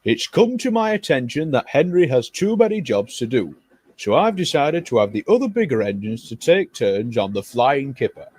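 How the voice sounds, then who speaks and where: A man's voice: medium pitch, sing-song, moderate pace, darkish tone, husky, no room sound, clean studio sound. A man voicing a stern, bossy male railway controller who gives firm orders in a storybook reading. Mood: authoritative. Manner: firm, authoritative.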